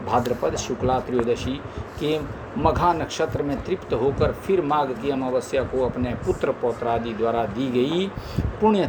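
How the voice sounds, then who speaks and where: Man reading scripture over street noise. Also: mid-range voice. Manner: measured recitation with stumbles and chatty asides. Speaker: scripture reader. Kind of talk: reading a holy text aloud.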